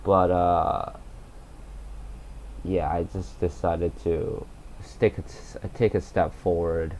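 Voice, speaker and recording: Deep-voiced teen guy, halting and glum. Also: flat delivery, a little mellow, a little hiss or hum, poor audio. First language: Cantonese. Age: teens. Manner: halting, many fillers and restarts, glum. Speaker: gaming YouTuber. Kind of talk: casual vlog monologue.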